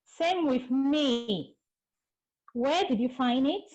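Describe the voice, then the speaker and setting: A woman's voice: high-pitched, slow pace, a little mellow, dull audio, voice pushed up. A woman reading a sulky schoolgirl. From Cameroon. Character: young girl who hates school.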